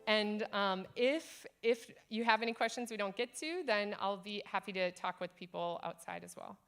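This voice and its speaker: Energetic woman giving a conference talk. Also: medium pitch, moderate pace, clear, resonant tone. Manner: energetic, earnest, encouraging. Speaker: conference speaker. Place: conference stage.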